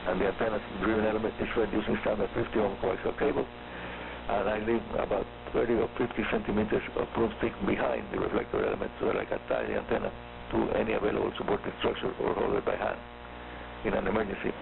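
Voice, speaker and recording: Gravelly Cuban radio host over shortwave. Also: male voice, lowish voice, expressive. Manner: brisk, explanatory, spells things out phonetically. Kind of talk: scripted radio talk for hobbyists. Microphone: studio microphone heard over a radio receiver. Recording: tinny shortwave radio reception with mains hum and static. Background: hum and static.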